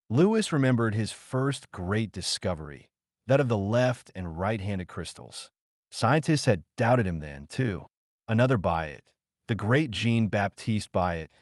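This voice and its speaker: Man, audiobook narrator, low-pitched, animated delivery, expressive storytelling, dry close sound. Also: no echo. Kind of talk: scripted narration, reading a children's biography aloud.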